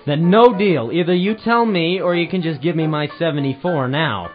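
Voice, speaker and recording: An actor playing a hard-boiled, wisecracking private eye in an old-time radio detective drama, with a wry, sardonic delivery. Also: male voice, fairly high pitch, moderate pace, natural voice. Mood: sardonic, annoyed. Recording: muffled amateur radio-drama recording with music.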